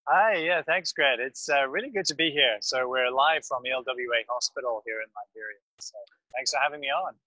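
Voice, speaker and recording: A man, a pediatric doctor, telling his story in a podcast interview in a calm, measured way, with some fillers. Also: mid-range voice, dry recording. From grew up in West Africa. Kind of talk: podcast interview, recounting experience.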